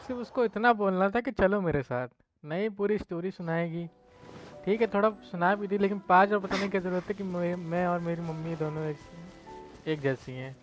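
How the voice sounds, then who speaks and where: A man's voice: sits high, racing, faint music behind. A man giving casual game commentary, complaining in an exasperated way. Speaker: gaming streamer.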